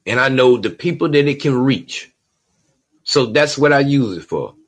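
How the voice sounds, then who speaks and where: A man's voice: mid-range voice, animated, moderate pace. A man hosting his own podcast, talking in an emphatic, streetwise, preachy way and tossing in "you know what I'm saying" over and over. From Georgia, USA. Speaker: podcast host. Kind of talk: solo podcast monologue.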